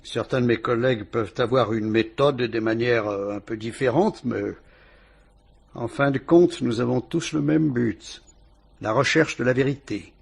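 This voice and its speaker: An actor playing a courteous police inspector who questions a witness in a measured, reassuring way, in a vintage French radio drama. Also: male voice, medium pitch, creaky, husky. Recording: old radio play recording.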